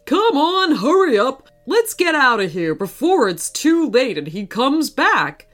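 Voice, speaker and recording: A woman voicing a big, gentle, bashful male hippo in a storybook, earnest and sentimental. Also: high-pitched, sing-song, a little slow, soft background music, pitched above the performer's own voice, full character voice. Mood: urgent.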